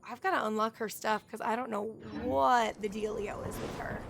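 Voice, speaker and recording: High-voiced woman streaming a game. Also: steady tone, moderate pace, creaky, background noise. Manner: reacts aloud, reads along, playful. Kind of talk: casual live playthrough commentary. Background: game music.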